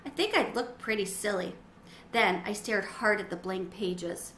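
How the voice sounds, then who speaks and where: A middle-aged woman giving an expressive, dramatized read-aloud of a children's story. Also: medium pitch, measured pace. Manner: animated, dramatizing. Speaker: storybook reader. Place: small sunroom with windows, indoors. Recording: roomy echo, faint background noise.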